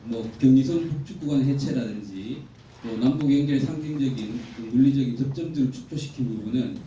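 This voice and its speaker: A man delivers a formal academic presentation in a very echoey hall, heard at some distance from the microphone. His voice is mellow and middling in pitch. He explains in a measured, orderly way, with faint noise behind him. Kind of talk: conference presentation from slides. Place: indoor conference hall.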